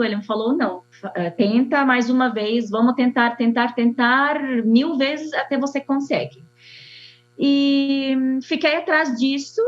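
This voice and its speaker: Syrian woman w arabic accent, kinda high voice, halting w lots of fillers, dull lo-fi audio. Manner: halting, many fillers and restarts. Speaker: architect. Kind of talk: personal testimony. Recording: dull lo-fi sound.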